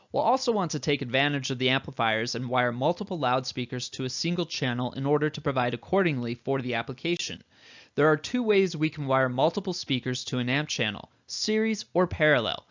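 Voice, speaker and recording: A man with a somewhat higher-than-average voice delivers scripted instructional narration. He explains step by step, like a course instructor. The recording is a bit dull, lacking some top end. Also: a bit bright.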